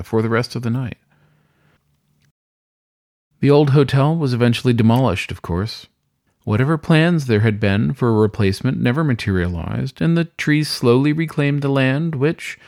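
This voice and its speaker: A man's voice: medium pitch, a little mellow, no room sound, studio quality. A man narrating a scripted short story in a dramatic storytelling style.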